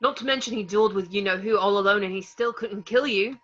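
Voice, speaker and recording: A man's voice: high voice, fast talker, bright, forceful tone. A man playing a loyal, outspoken teenage schoolboy in an amateur table read, over a dull lo-fi microphone. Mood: supportive.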